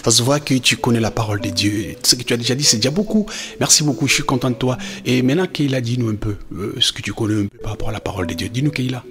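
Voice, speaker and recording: A man's voice: mid-range voice, fast, a bit bright, lightly acted. A man playing a devout, proud, affectionate father who teaches his children, effusive and encouraging, repeating phrases for emphasis. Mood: proud, joyful.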